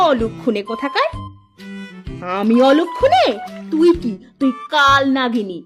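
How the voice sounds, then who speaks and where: A woman playing a quarrelsome, sharp-tongued village wife in a cartoon, scolding and indignant. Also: high voice. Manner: scolding, taunting, indignant delivery. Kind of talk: Bangla cartoon dialogue. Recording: music under the voice.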